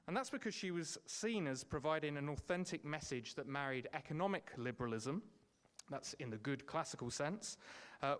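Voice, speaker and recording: Guy hosting, reading a formal intro, smooth clear voice. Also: medium pitch. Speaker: event host introducing the speaker.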